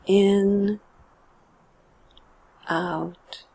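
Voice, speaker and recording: A woman guiding a meditation in a calm, measured and gentle manner, letting her sentence endings trail off. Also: medium pitch, vocal fry, breathy edge, no echo. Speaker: meditation guide. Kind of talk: guided meditation narration.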